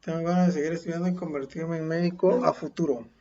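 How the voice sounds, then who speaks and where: A male teacher, dictating and reading a model text aloud slowly, saying the punctuation. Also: fairly high pitch, steady tone, warm tone. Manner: slow, deliberate dictation with spoken punctuation. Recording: dull lo-fi home recording.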